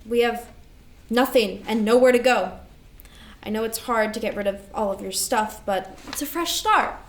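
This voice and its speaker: An echoey room carries the fairly high voice of a woman acting as a devoted older sister in a stage drama. She speaks earnestly, weighed down by grief and duty. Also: moderate pace, slight background noise, natural voice. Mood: weary, reassuring. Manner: earnest, emotional delivery. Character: devoted, grieving older sister carrying guilt and responsibility. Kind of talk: staged play reading.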